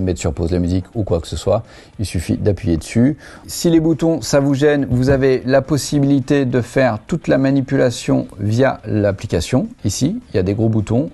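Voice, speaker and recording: A man's voice: mid-range voice, mellow. A man giving a casual, chatty product review, explaining things in colloquial language. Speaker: motorcycle gear reviewer, host.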